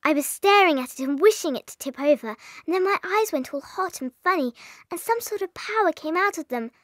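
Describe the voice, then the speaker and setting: A woman's voice: extremely high pitch, lively intonation, a little fast, a bit bright, no echo. An actress playing a polite, earnest little schoolgirl with an English accent in a dramatized children's audiobook. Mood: earnest, confessing.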